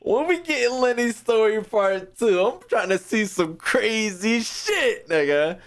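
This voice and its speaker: A young man giving casual, off-the-cuff reactions as a gaming host, slangy and profane with expressive interjections. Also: high voice, a little slow. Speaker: video game reaction host.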